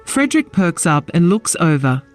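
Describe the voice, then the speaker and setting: A man's voice: fairly high pitch, big pitch swings, moderate pace, soft background music. A man narrating a fantasy audio drama, reading scripted scene descriptions as a storyteller.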